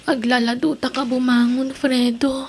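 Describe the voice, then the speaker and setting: A woman's voice: high-pitched, level tone, a little slow, darkish tone, slight background noise. A woman with an Ilocano Filipino accent playing an earnest young female student torn between lovers in an audio drama. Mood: subdued.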